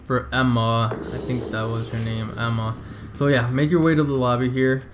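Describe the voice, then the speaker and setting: A man's voice: medium pitch, fairly even intonation, normal speed. Casual man guiding through a game, noisy background. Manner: informal, addresses viewers as "you guys". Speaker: video game walkthrough host. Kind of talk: casual step-by-step game walkthrough commentary.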